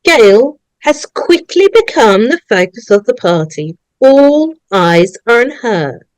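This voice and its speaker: A woman's voice: on the high side, big pitch swings, slow pace, darkish tone, dry recording. A woman narrating a script, reading screenplay stage directions aloud. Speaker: narrator of a staged table read.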